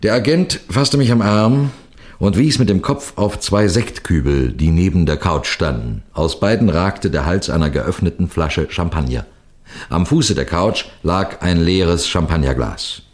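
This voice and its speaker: Seasoned detective, deep voice, measured, formal, composed, 1960s radio crime drama storytelling. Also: male voice, expressive. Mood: grave, suspenseful. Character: seasoned male police detective telling his cases, composed, authoritative. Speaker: narrator.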